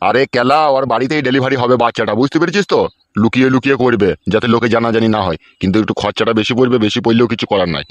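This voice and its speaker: A man acts out a scheming village uncle from a comedy cartoon. He rattles along very fast, hectoring and swearing crudely. The sound is dry, at a middling male pitch. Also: no room sound, muffled recording, close to an everyday voice. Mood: bossy scheming. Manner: scolding, crude slang, hectoring. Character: scheming, bossy village uncle, greedy and foul-mouthed. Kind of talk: Bengali comedy cartoon dubbing.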